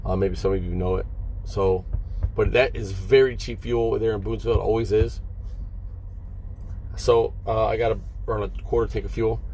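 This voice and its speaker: A man, a long-haul trucker, talks casually and haltingly to his viewers from inside a parked truck cab, explaining things and checking in with short questions. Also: fairly low pitch, fairly even intonation, darkish tone, low-quality recording. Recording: vehicle noise behind the voice.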